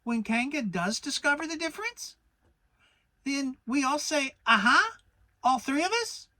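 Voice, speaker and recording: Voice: high-pitched, animated, unhurried, pushed and bright, no echo, voice pushed up, full character voice, poor audio. A narrator voicing a small, timid, anxious male piglet with a hesitant stammer, in a children's storybook reading.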